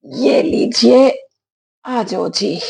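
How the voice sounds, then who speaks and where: A woman with a slightly hoarse throat, clearing it now and then, talking gently and affectionately in a casual devotional vlog at her home altar. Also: fairly high pitch, very slow, a little hiss or hum. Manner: gentle, affectionate, preachy at times. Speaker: devotional vlogger.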